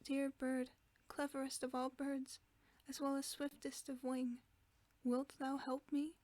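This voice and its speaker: Woman whispering as a shy young maiden in a storybook, dark soft tone, dry close sound. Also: sits high, completely flat delivery, a little slow, dry recording. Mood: pleading.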